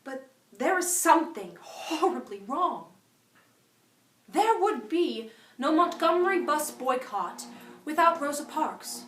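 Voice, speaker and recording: Indignant female civil rights activist, played by a woman, bright forceful voice, deliberate stage oratory, echoey room. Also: sits high, slight background noise, exaggerated voice. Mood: impassioned. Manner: deliberate, emphatic oratory with dramatic pauses. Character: indignant eloquent woman civil rights organizer addressing a gathering. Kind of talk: theatrical audition monologue as a formal speech. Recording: echoey room, distant microphone.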